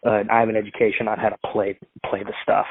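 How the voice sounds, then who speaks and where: A man's voice: on the low side, flat delivery, fast, vocal fry, breathy edge, no echo. A man making a prank phone call, rambling and full of fillers and restarts. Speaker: prank caller. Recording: tinny dry phone line.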